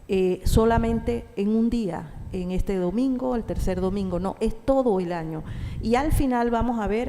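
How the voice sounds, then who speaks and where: A woman giving a measured, thoughtful talk to a parish audience, pausing with fillers and asking the listeners questions. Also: mid-range voice, mellow. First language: Spanish. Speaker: parish speaker at a church talk. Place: parish hall or church. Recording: distant echoing church hall with faint noise.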